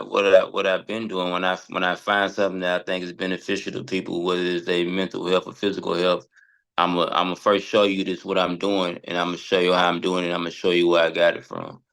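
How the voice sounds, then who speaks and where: Deep voiced dude on a podcast, casual, blunt, keeps checking you get what he means. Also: level tone, brisk, vocal fry, a bit bright, rough recording. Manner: plain-spoken, blunt, checks in with listener. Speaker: podcast guest, comedian and producer. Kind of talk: casual chat.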